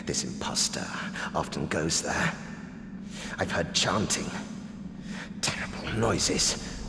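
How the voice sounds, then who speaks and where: A low, slow voice of a captive prince in a fantasy game, solemn and desperate. He is strained and weakened, halting between breaths. The sound is noisy and drenched in long reverb. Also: pushed and bright, exaggerated voice. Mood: bitter, pained. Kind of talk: dramatic video game cutscene acting. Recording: noisy, echoing cavernous reverb.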